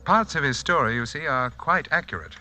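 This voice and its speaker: Sharp-voiced, suave sinister doctor. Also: male voice, a little high, expressive, soft background music. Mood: suavely polite. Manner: polite, composed, measured, quietly menacing. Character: Clarence, suave sinister sanitarium doctor hiding guilt. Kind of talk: 1940s radio mystery acting.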